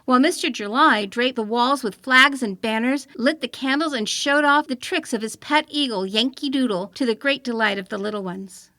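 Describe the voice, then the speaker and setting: A woman reading a story aloud as expressive, scripted storybook narration. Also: a little high, normal speed, few pauses, dry recording, studio quality. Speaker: story reader.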